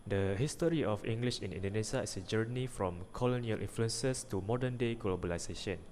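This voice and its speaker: Young guy reading his class slides, lowish voice, kinda stiff, echoey hall with a faint hiss. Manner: steady, somewhat stiff read-aloud delivery. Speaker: student presenter. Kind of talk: reading a prepared class presentation. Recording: long reverb with faint background noise.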